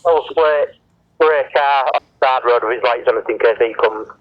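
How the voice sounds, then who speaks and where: A man's voice: mid-range voice, moderate pace, no echo. A man with a British accent talking casually over a two-way radio as he directs traffic at road works. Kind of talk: casual work radio chatter. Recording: muffled, band-limited two-way radio transmission.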